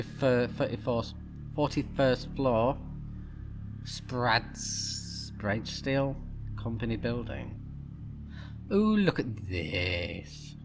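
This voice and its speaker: A man's voice: medium pitch, background noise. A man giving casual commentary as he plays a game, reacting and reading text aloud. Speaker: let's play host.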